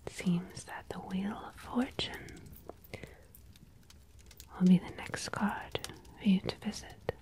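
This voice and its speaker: A woman playing a gentle mystical tarot guide, welcoming a visitor in a hushed ASMR whisper. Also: medium pitch, fairly even intonation, slow pace, pauses often, natural voice. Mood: gently guiding.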